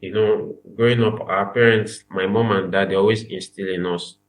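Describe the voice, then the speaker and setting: A man's voice: fairly low pitch, fairly even intonation, moderate pace, poor audio. A young man from Nigeria with a Nigerian accent, earnestly telling his story as a podcast guest, often repeating his phrases. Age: young adult. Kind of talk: casual interview chat.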